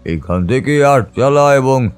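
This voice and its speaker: A man reads a scripted audio story at a slow pace in a mid-pitched voice. His delivery is measured and dramatic, in a literary first-person style, with music underneath. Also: expressive, clear, resonant tone. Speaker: story narrator. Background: background music.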